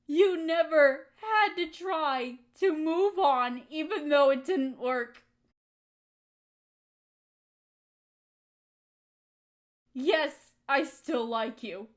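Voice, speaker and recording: A young woman acting a hurt, resentful friend in a dramatic audio roleplay, speaking in an emotional, accusing way. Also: sits high. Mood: wounded, pleading.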